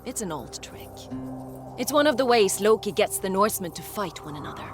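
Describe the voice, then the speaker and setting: An actress playing a composed Norse valkyrie who serves a god, explaining and guiding in video game cutscenes. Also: female voice, medium pitch, expressive, a little fast, music in the background, natural voice. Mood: calm. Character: Norse valkyrie servant of Odin, calm and wise. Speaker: game character.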